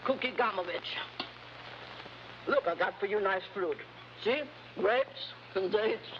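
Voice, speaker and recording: An actress playing a flirtatious, cooing hostess, coy and playful, in a vintage TV adventure. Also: female voice, mid-range voice, slow pace, close to an everyday voice. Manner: coy, giggly, drawling endearments. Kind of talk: 1950s TV adventure acting. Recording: muffled old TV soundtrack with noise.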